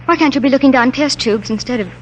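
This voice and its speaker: An American actress playing a devoted, perceptive young doctor's wife in a 1940s radio drama. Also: female voice, sits high, fast talker, close to an everyday voice. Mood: gently insistent. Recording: muffled, faintly noisy 1940s radio recording.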